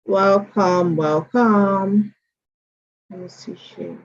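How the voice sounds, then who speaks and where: Lady hosting an online call, keeps saying welcome, mid pitch, dull lo-fi sound. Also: very slow. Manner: chatty, repeats greetings, reads names aloud. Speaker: host of an online mentorship session. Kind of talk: livestream hosting, greeting attendees. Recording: dull lo-fi stream audio.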